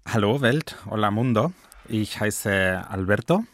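A man's voice: fairly low pitch, expressive, measured pace, over loud music. A Spanish man in his forties, a native Spanish speaker who teaches German, talking about his love of the language in an earnest, enthusiastic way with a Spanish accent. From Spain. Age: 40s. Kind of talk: personal portrait interview.

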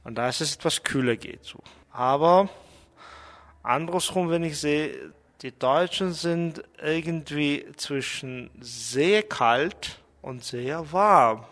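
A middle-aged man from Mongolia, speaking with a Mongolian accent and talking thoughtfully about his life, pausing as he searches for words. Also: fairly high pitch, a bit bright, long reverb tail. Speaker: interviewee. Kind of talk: portrait interview, personal reflections.

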